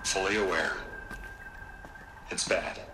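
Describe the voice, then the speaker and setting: An actor playing an evasive, wheedling corporate tycoon in a video game cutscene. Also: male voice, low voice, fairly even intonation, takes it slowly, background noise. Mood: defensive. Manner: defensive, wheedling, flustered. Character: rich, evasive male tech tycoon, middle-aged. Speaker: corporate executive.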